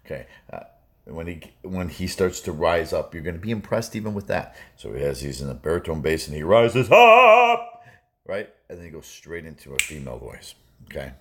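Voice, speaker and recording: A man with an extremely deep baritone hosts a music reaction video. He talks in a loose, rambling way, full of fillers. He keeps stressing his points with emphasis. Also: very animated, normal speed, a bit bright. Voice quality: low baritone voice. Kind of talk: casual reaction commentary.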